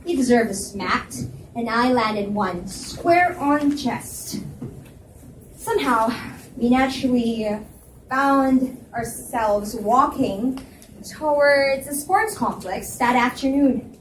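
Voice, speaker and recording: A woman's voice: a little high, slow pace. A woman narrating a first-person story aloud at a live indoor reading. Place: indoor reading event at a library. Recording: echoey room, distant mic, faint noise.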